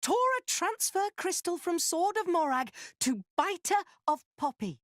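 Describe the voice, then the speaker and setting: Tiny excitable furball guy, super high squeaky voice, broken grammar, dry studio sound. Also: a little slow, no echo, exaggerated voice. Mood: proud explaining. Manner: speaks in broken third-person grammar. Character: small excitable furry creature with broken grammar, male. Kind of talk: voiced game cutscene acting.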